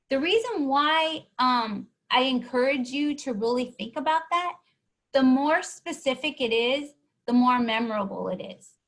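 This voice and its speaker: A woman with a fairly high voice runs a workshop for students. She explains things patiently and keeps checking in with her listeners. She sounds encouraging throughout. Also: a bit bright. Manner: encouraging, keeps asking "right?". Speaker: workshop presenter. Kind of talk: teaching a live online workshop.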